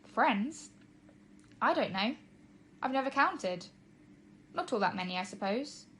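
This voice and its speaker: A woman's voice: fairly high pitch, lively intonation, takes it slowly, a bit bright, slight background noise. A woman voicing a sheepish, evasive young boy in a children's story, speaking hesitantly. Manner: hesitant, stalling.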